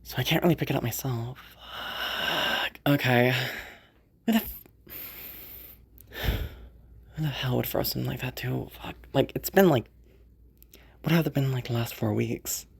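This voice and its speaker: A woman's voice: very deep voice, normal speed, vocal fry, pauses often, slightly rough, exaggerated voice. A woman voicing a sociopathic rich young woman who mutters her plans to herself and swears a lot. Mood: irritated. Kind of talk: audio roleplay monologue.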